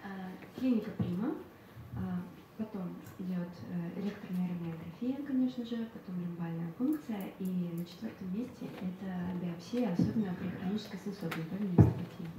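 A woman's voice: fairly low pitch, brisk. A woman interpreting in a lecture hall, hesitant, with many fillers. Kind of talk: consecutive interpreting. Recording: echoey hall, distant, faint hiss.

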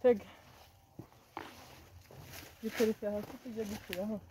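A woman vlogger giving a casual garden tour outdoors in halting, simple English, recorded on a handheld camera. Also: medium pitch, steady tone, dark tone, slight background noise. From Africa. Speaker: vlogger, stay-at-home mother. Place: outdoors in a lush garden plot.

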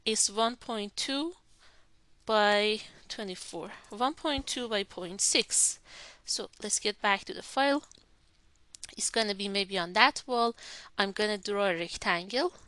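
A woman walking through a software tutorial step by step, thinking aloud as she clicks through the program. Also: medium pitch, dry recording. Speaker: instructor. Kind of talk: screen-recorded software tutorial.

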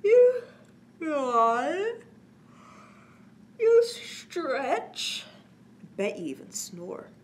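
A woman voicing a kindly, playful grandfather in a children's storybook, gentle and reassuring. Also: high-pitched, wildly swooping pitch, drawn-out words, long pauses, a little hiss or hum, pitched above the performer's own voice, exaggerated voice. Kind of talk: children's storybook read aloud.

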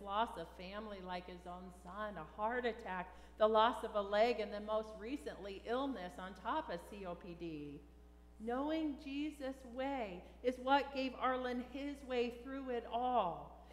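An older woman, a pastor, giving a funeral sermon in a measured way with short, paused phrases. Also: mid-range voice. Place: large church sanctuary. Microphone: lectern gooseneck microphone. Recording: echoey church hall, faint background noise.

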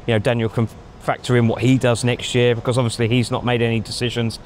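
A middle-aged man giving measured opinions in a casual outdoor chat, thinking aloud with frequent ums, on a lapel mic. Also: mid-range voice, flat delivery, slight background noise. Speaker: pundit. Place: outdoors in a racing paddock at night. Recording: faint outdoor street ambience.

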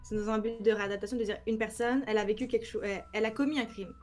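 A woman in her thirties from France, with a French accent and some Quebec expressions, explaining her work in a casual video-call interview with lively hand gestures. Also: fairly high pitch, racing, soft background music. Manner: animated, explains with many examples, gestures with hands. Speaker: cybersecurity trainer, guest. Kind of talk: casual interview on a live stream. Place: home room, indoors.

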